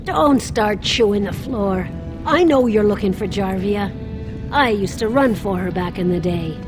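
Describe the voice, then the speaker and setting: A woman's voice: mid-range voice, animated, measured pace, music in the background, natural voice. An actress playing a wily, crippled old beggar woman with a wheedling, streetwise manner in a fantasy game. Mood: wary, knowing.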